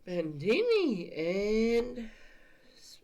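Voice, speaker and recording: A man's voice: high voice, wildly swooping pitch, drawn-out words, darkish tone. A young man with a stuffy, sniffly voice, rambling and swearing casually while opening card packs for his channel. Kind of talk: casual unboxing vlog chat.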